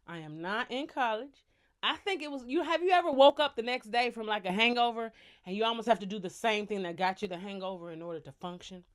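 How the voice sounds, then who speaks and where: Woman from the US, casual vlog storytelling, slangy, lively, slightly high voice. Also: fast talker. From United States. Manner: lively, chatty, lots of slang and asides to viewers. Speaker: vlogger, singer.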